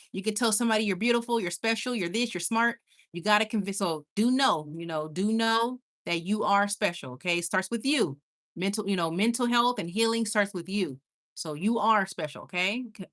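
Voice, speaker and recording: A young woman with a Caribbean Spanish accent talks straight to her live chat, bubbly and quick to squeal with excitement. Her voice is bright and forward. She sounds at home and at ease. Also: mid-range voice. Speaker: livestream host. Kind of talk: casual live chat reading viewer comments.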